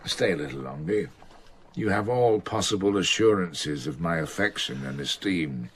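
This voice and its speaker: A man's voice: low-pitched, lively intonation, moderate pace, slight background noise. An actor playing an imposing, blunt older man of letters, formal and emphatic, moody yet affectionate, in a period radio drama. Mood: tender, earnest.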